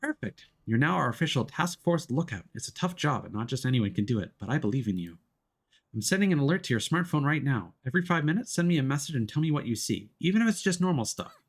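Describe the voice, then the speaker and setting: A man's voice: medium pitch, big pitch swings, quick pace, voice pushed up. A man voicing a patient, long-suffering woman investigator who speaks earnestly and encouragingly, for a story game. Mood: coaxing, encouraging. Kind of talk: visual novel character voice.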